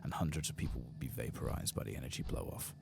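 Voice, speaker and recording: A soft-spoken man murmuring his way through an ASMR game playthrough, in an unhurried manner. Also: extremely low pitch, vocal fry. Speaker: gaming streamer.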